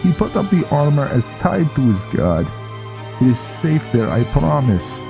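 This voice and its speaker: A man's voice: mid-range voice, sing-song, unhurried, creaky, over music, voice pushed up. A man voicing a loyal, roguish vampire knight vanguard in a theatrical, earnest manner for a game roleplay. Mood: sheepish, coaxing.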